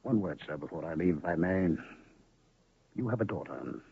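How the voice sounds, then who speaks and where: A man's voice: low-pitched, animated, normal speed, gravelly, breathy edge, no echo, heavily acted. An actor playing a mysterious male medicine man and illusionist in an old radio drama, speaking grandly and theatrically. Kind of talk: 1970s radio drama acting. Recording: lo-fi phone-band old radio recording.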